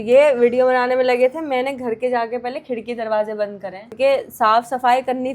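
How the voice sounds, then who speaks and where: A young woman hosting a home vlog, chatting and gently teasing her husband while a storm blows outside her apartment. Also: on the high side, slight background noise. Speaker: vlog host. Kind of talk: casual home vlog chat. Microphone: handheld camera.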